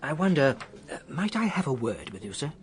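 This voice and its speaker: An actor playing a discreet, politely insistent hotel manager in a radio drama, formal and apologetic with small hesitations. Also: male voice, fairly high pitch, sing-song, a little fast.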